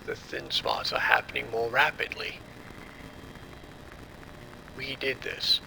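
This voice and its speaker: Male actor grim lead scientist, gravelly, very sharp tone, deliberate, commanding, cold, horror audio drama, faint rumble. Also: wildly swooping pitch, exaggerated voice, low-quality recording. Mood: grave realization. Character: cold, commanding male doctor covering up a disaster.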